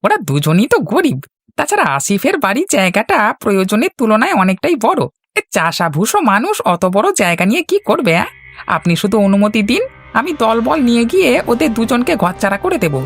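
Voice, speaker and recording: A woman's voice: on the high side, sing-song, brisk, soft background music. A woman voicing a sly, fawning male henchman in a cartoon, wheedling and obsequious toward the boss. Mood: fawning, plotting. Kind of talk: cartoon voice acting.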